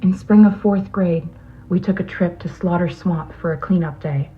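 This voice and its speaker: A woman's voice: lowish voice, moderate pace, natural voice. A woman playing a troubled botanist leaving a hesitant, confessional recorded message for an old friend in an audio drama. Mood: wistful. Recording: muffled, noisy lo-fi tape message.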